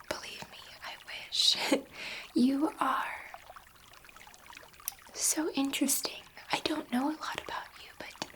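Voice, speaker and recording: Girl doing a gentle alien lady scientist for asmr, whispery, high bright voice, reassuring and kind of awed. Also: exaggerated voice. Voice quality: soft breathy whisper. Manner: slow, tender, reassuring, with halting pauses. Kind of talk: whispered ASMR sci-fi roleplay.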